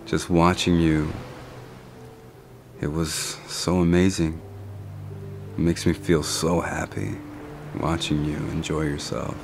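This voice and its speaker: A young man plays a tender, doting boyfriend in an ASMR role-play. His very low voice is full of vocal fry and moves slowly, in a soft, intimate murmur with long pauses. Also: little pitch movement, over music. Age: young adult.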